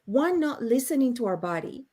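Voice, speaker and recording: A woman's voice: a little high, sing-song, normal speed, mellow. Chatty woman host on a video call. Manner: chatty, laughing storyteller. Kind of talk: casual panel chat.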